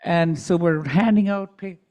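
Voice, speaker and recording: A woman's voice: on the low side, measured pace, darkish tone. A middle-aged woman advocate giving candid personal testimony at a community dialogue, with joking asides.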